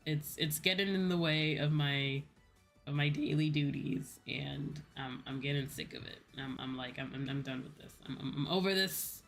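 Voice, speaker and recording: An American woman livestreamer rambling casually to her viewers in an animated storytelling way, acting out bits of dialogue. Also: sits low, normal speed, gravelly. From United States. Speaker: VTuber livestreamer. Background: background music.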